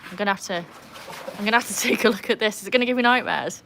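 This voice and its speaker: A woman's voice: medium pitch, racing, sharp tone, a little hiss or hum. A young woman with a mixed Welsh and English accent, hosting a casual livestream outdoors by a pool, chatting and reading comments aloud. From Wales. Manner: chatty, reads chat comments aloud, laughs easily. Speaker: livestream host.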